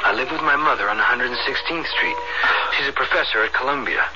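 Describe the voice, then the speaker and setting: An actor playing a kindly, easygoing young American medical student in a 1970s radio drama, informal and coaxing. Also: male voice, mid-range voice, a little fast, muffled recording, close to an everyday voice. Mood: reassuring. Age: young adult. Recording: band-limited 1970s radio recording, loud music behind.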